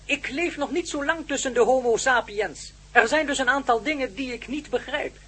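High, husky-voiced telepathic outsider. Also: male voice, fairly bright tone, heavily acted. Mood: pondering. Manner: deliberate, formal, literal-minded. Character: solemn male telepathic non-human, puzzled by humankind. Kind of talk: Dutch radio play acting.

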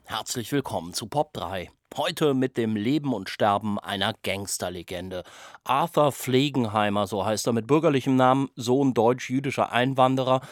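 A man's voice: medium pitch, no room sound. Male radio host, dry studio sound. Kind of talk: scripted programme introduction.

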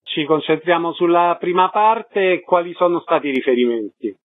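A man's voice: a little high, expressive, normal speed, dry recording. A man hosting a radio interview, introducing and asking questions. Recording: narrow, tinny phone-like sound.

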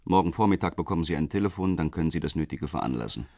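A government official in a radio crime drama. His very low voice rises and dips expressively, close and dry. He is measured and polite, with a veiled threat in his phrasing. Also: lively intonation, darkish tone, dry recording. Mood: cool bargaining. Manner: measured, insinuating politeness with rhetorical questions.

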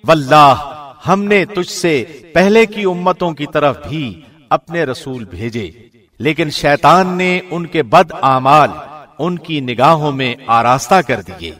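A man giving a formal, measured and solemn scripted reading of a scripture translation, as a radio presenter would. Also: a little high, lively intonation, a bit bright.

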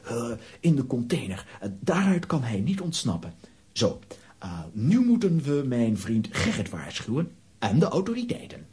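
An actor playing an excitable adventurer in a children's radio play, with expressive, exclamatory delivery. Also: male voice, mid-range voice, moderate pace, lightly acted. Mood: flustered.

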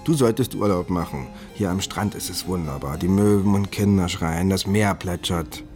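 A man's voice: low-pitched, moderate pace, darkish tone, soft background music, natural voice. An actor in a German radio drama playing a wry, inquisitive male police detective who asks dry, probing questions in a naturalistic way. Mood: wry, evasive.

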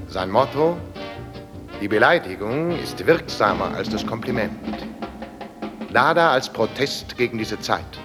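Slow Dada announcer in reverberant hall. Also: male voice, lowish voice. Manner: declamatory, deliberate. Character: provocative Dada stage announcer proclaiming absurd manifestos. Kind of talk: stage proclamation in radio drama.

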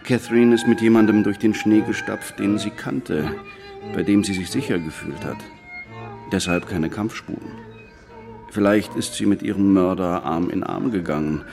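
An actor playing a calm island police detective in a radio drama, speaking in a measured, understated way. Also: male voice, deep voice, creaky, over music. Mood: measured, reflective. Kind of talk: naturalistic German radio drama dialogue.

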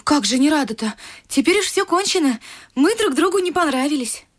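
A woman's voice: high voice, expressive, fairly quick. An actress playing a naive, skittish young lady in a radio vaudeville, prim, girlish and artless. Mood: anxious. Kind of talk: stage vaudeville radio play acting.

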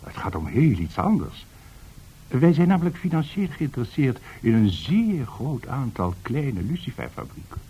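An actor playing a courteous, deferential bank director in a radio drama, with a husky voice and formal manner. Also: male voice, mid-range voice, very animated, normal speed, dark tone, dull audio. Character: courteous, flattering bank director seeking help. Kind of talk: radio play acting.

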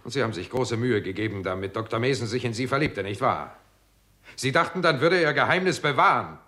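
A shrewd detective in a 1960s radio thriller. His mid-range voice is bright and expressive, composed and pointed. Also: medium pitch, quick pace. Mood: accusing, probing. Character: shrewd, composed investigator coolly laying out a case. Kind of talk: 1960s German radio drama acting.

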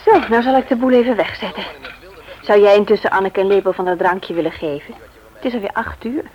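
An actress plays a calm wife and mother in a radio drama. Her mid-pitched voice is reassuring, on a muffled vintage recording. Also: brisk, lightly acted. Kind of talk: Dutch radio play acting.

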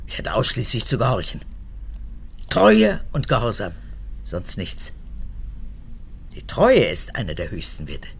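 An actress in a radio drama playing a cold, proud old mother and unrepentant former prison guard, haughty and emphatic, with halting phrases. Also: a bass voice, huge pitch swings, creaky, slight background noise, heavily acted. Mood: stern, hard. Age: older. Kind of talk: German radio drama acting.

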